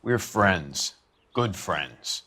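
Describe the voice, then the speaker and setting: Male, low register: guarded, terse 1940s suspect giving short answers in a noir detective game. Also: lively intonation, drawn-out words, bright, forceful tone, exaggerated voice.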